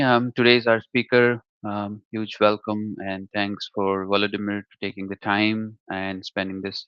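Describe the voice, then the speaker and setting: Low, flat-voiced man hosting a webinar. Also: clean tone, dry recording, lo-fi sound. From India. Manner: hesitant, many fillers. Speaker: developer group leader and host. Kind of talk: webinar introduction.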